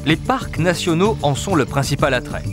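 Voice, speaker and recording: A man narrates a travel documentary from a studio voice-over booth. His voice sits somewhat high for a man and moves through a lively, wide melodic range. Music plays clearly underneath him. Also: normal speed.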